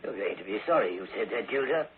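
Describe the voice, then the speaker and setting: Tinny actor as fawning, spurned older suitor. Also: male voice, fairly low pitch, lively intonation, quick pace, natural voice. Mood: pleading, wounded. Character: fawning, spurned older male admirer around backstage. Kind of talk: 1960s radio drama acting. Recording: tinny old radio, music behind.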